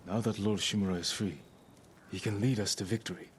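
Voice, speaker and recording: An actor playing an earnest, resolute samurai lord in a video game, grave and loyal, speaking in a terse, restrained way. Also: male voice, on the low side, fairly even intonation, brisk, a little hiss or hum, lightly acted. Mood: grim determination. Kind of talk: cinematic game dialogue. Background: music.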